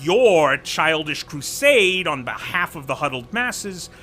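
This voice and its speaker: A man's voice: fairly high pitch, sing-song, slow pace, bright, forceful tone, faint music behind, full character voice. A man playing a pompous, exasperated corporate vice president in an audio drama, speaking in a formal, condescending, officious way. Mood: stern, officious.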